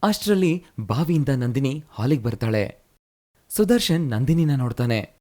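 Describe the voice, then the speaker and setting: A man narrating a moral fairy tale in a brisk, plain storytelling manner. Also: mid-range voice, very animated, a little mellow.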